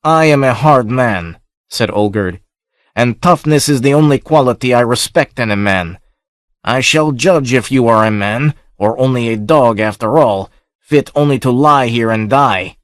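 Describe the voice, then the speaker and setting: A man's voice: medium pitch, unhurried, dry recording, clean studio sound, lightly acted. A man voicing a hard, scornful outlaw chief who speaks coldly and gives orders. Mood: commanding. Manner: cold and commanding.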